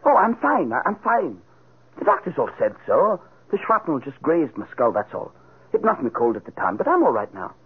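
An actor playing a brooding young male war veteran and former whaler, talking in an earnest, halting, stammering way in a radio drama. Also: fairly high pitch, huge pitch swings, husky, heavily acted. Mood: dazed. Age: young adult. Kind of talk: 1940s radio acting. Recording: tinny noisy old radio recording.

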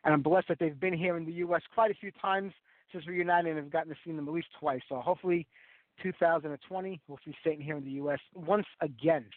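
A man's voice: a little high, steady tone, fast talker, dry recording. A middle-aged man hosting a radio show, chatty and enthusiastic, sounding stuffy and congested from a sinus infection. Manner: chatty, enthusiastic fan talk. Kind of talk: casual radio talk. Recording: tinny phone-line sound, very dry.

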